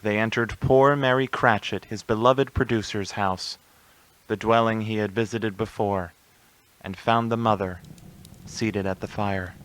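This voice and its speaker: An actor playing the storyteller of a Christmas ghost tale, narrating in a measured, literary way. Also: male voice, lowish voice, steady tone, fairly bright tone, low-quality recording. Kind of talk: scripted storybook narration for radio play.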